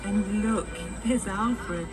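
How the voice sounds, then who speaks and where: Loud music plays under a woman narrating children's tales as a scripted storyteller. Her voice sits at a middle pitch and sounds slightly away from the microphone. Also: drawn-out words, recorded from a distance, poor audio.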